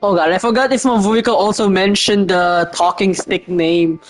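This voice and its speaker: A woman streaming a game with casual, informal, reactive commentary and an excitable manner. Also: medium pitch, normal speed, faint music behind.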